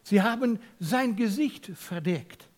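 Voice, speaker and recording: Dutch-accented preacher with wide-ranging pitch. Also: male voice, expressive, slow. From Netherlands. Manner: emphatic, asks the congregation questions; swings from loud to near whisper. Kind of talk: sermon.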